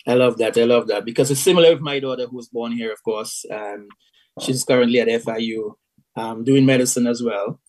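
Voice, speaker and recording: A man's voice: medium pitch, fairly quick, a little mellow. A middle-aged man from Dominica co-hosting a casual interview, often repeating words and phrases as he goes. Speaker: co-host.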